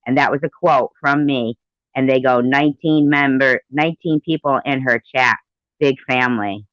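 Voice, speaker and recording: A woman's voice: very deep voice, normal speed, dry recording. A middle-aged woman giving indignant, emphatic personal commentary, often repeating her phrases. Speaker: commentator reacting to played audio. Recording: dull, lo-fi recording.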